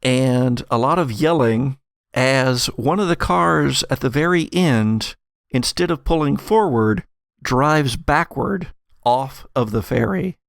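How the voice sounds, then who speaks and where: A man running a tabletop game as game master, narrating in a conversational, halting, explanatory way. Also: medium pitch, gravelly, dry recording, clean studio sound.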